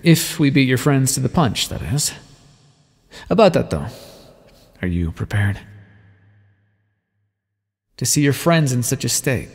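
Guy doing an aloof vampire scientist for asmr roleplay, creaky voice, wry and condescending, big echoey reverb. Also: medium pitch, animated, a little slow, long pauses. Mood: wry concern. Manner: wry, condescending, formal wording with pet names. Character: aloof, teasing male vampire scientist, reluctant ally. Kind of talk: ASMR roleplay, scripted intimate monologue to the listener.

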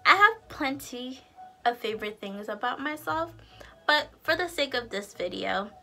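A woman's voice: high-pitched, lively intonation, moderate pace, vocal fry, sharp tone, faint music behind. A young woman of about twenty, chatting casually and expressively to camera as a vlogger at home. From Guyana and the United States, raised partly in Maryland. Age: late teens to about twenty. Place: indoor room at home with curtains.

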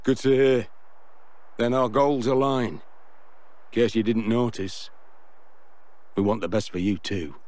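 A man's voice: mid-range voice, fairly even intonation, a little slow, pauses often, a little mellow, no echo, natural voice. A man playing a blunt, wry veteran fighter in a video game cutscene, talking in a plain colloquial way. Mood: matter-of-fact. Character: gruff older veteran fighter, blunt and wry, explaining history.

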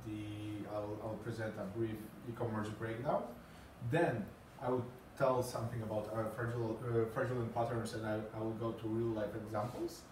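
A man's voice: fairly low pitch, fairly even intonation, moderate pace, noisy background. A man in his thirties with a Polish accent giving a talk to a small group, hesitant with many fillers and repeated words. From Poland. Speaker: conference speaker. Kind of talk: presentation talk. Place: small meeting room with green walls and a screen. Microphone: far camera, no mic seen. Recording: echoey room from far off.

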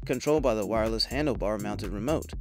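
A man reading scripted product review narration in a measured, promotional way. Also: fairly low pitch, a little mellow. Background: background music.

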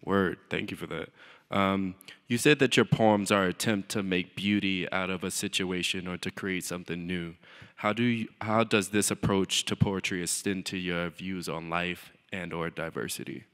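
A man moderating a stage Q&A, asking questions with a relaxed, casual manner. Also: deep voice, normal speed, smooth. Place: large auditorium stage. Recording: long reverb of a large hall.

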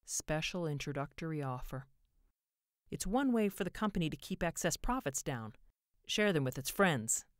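A woman's voice: deep voice, animated, moderate pace, dry recording, studio quality. A woman voicing an unhappy, desperate man in a story, earnest and shaken. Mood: subdued, polite. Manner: gasping, pleading.

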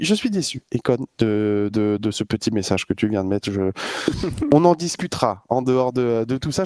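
A man's voice: a little high, dry recording. Casting note: male esports caster, chatty and easygoing, dry close headset sound. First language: French. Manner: relaxed, chatty, many fillers and restarts. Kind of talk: esports commentary and player interview.

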